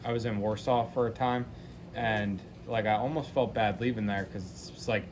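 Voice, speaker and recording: A man's voice: lowish voice, fairly even intonation, normal speed, a little hiss or hum. A man chatting casually and asking questions, rambling with lots of fillers. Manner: rambling, heavy use of fillers like "you know". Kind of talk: casual chat.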